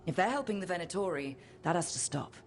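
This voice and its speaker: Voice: medium pitch, animated, fast, close to an everyday voice. An actor playing an easygoing, wry adventurer in a video game, speaking casually and plainly. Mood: wary, casual. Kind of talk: video game dialogue acting. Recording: music behind the voice.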